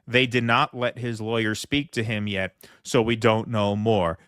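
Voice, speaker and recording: A man's voice: fairly low pitch, steady tone, measured pace, a bit bright, smooth, no echo, studio quality. A man hosting a political commentary show, reading news reports aloud and commenting with frequent fillers.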